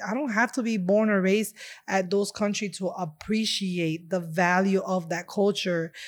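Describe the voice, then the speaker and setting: A woman's voice: medium pitch, steady tone, unhurried. A woman from Chicago, a guest in an interview, talking about her heritage in a heartfelt, rambling way with many fillers. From Chicago, USA. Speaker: interview guest, restaurant owner. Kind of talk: casual interview conversation.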